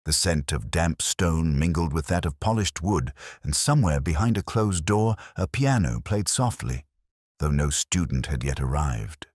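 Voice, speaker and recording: A man reading literary detective fiction as a scripted narration, in a measured, reflective and formal manner. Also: very deep voice, animated, darkish tone, husky, no echo, studio quality.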